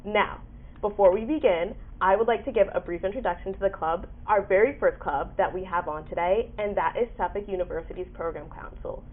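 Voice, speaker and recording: A woman's voice: mid-range voice, a little fast. A young woman hosting a podcast in an effusive, peppy manner, moving between prepared introductions and casual interview talk. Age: young adult. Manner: effusive, peppy, frequent backchannels. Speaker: podcast host. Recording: muffled phone-like, radio-like sound.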